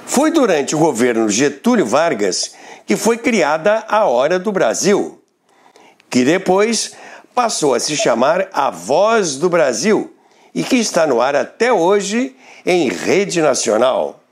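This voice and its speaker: Older Brazilian man narrating with lively emphasis. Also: a little high, slow. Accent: Brazilian Portuguese. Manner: expressive, emphatic delivery. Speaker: radio historian and host. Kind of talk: scripted history narration.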